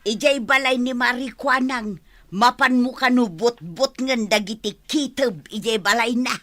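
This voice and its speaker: Sharp bossy village wife. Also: female voice, fairly high pitch, unhurried. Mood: bossy, insistent. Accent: Ilocano. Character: bossy, money-minded village wife, nagging. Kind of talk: Filipino audio drama acting.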